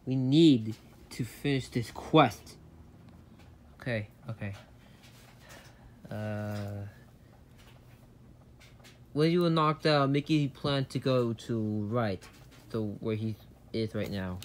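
A man's voice: mid-range voice, lively intonation, a little hiss or hum. A man voicing plush-toy characters in plain, everyday conversation. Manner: slow, plain conversational delivery. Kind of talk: amateur plush-toy drama dialogue.

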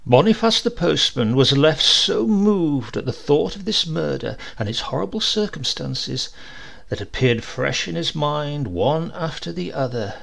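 A man reading a literary short story aloud as an audiobook narrator, in an expressive, measured storytelling manner. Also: a little high, vocal fry.

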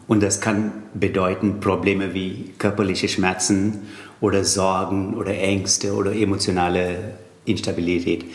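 A man's voice: lowish voice, reverberant. A man with a Tamil accent explaining yoga texts in an interview, thoughtful and hesitant with fillers. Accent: Tamil-accented German. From Tamil Nadu, India. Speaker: yoga teacher and Sanskrit scholar. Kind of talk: interview answers.

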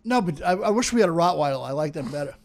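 A man's voice: a little high, animated, racing. A man in his fifties, a podcast guest, talking casually and defensively with stammers. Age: 50s. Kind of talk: casual comedic banter.

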